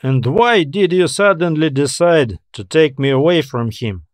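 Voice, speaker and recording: A man's voice: fairly high pitch, expressive, measured pace, dry recording, studio quality. Man playing a wry, unflappable outsider investigator. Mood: casual, wry. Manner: casual, wry, skeptical. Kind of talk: sci-fi noir audiobook character acting.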